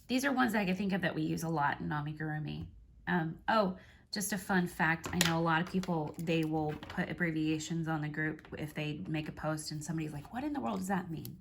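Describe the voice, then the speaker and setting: A woman from North Carolina, hoarse and stuffy from allergies, explaining crochet abbreviations in a casual tutorial. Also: low-pitched, a little hiss or hum. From North Carolina, United States. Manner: slow, careful spelling out of abbreviations. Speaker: crochet teacher.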